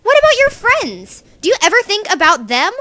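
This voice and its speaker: Woman voicing a very high, bubbly scolding alien sea girl. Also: wildly swooping pitch, brisk, pushed and bright, no room sound, heavily acted. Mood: earnest scolding. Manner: exclamatory, teasing, emphatic. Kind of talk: character voice reel for a webcomic.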